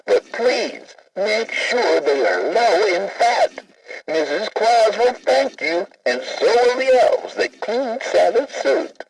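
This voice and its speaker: A man's voice: high voice, sing-song, slow, breathy edge, heavily acted. A man voicing a vain, jolly talking Christmas tree toy, hammy and full of puns, on a muffled, poorly recorded cassette. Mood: jolly, joking. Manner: hammy, boastful, jokey, punning. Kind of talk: scripted novelty toy patter and carol singing.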